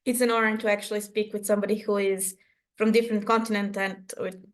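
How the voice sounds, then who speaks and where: A woman's voice: medium pitch, level tone, quick pace. A woman from Serbia with a Serbian accent, speaking candidly and conversationally as an activist guest on an online panel. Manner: candid, conversational, with quoted asides. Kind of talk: informal personal account at an online panel. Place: online video call.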